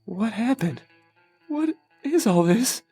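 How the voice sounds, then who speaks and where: Man acting a husky, nervous, dim-witted cowboy bandit. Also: very high voice, sing-song, slow, mellow, faint music behind, full character voice. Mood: startled. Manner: hesitant, stammering. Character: dim-witted, nervous, hesitant young male bandit sidekick.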